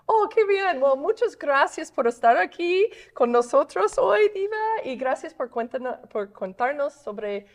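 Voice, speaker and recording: A woman's voice: sits high, sing-song, a little slow, hardly any pauses. A woman with an American accent, a native English speaker, hosting a casual interview in Spanish with English filler words slipped in. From United States. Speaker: chat show host and Spanish teacher.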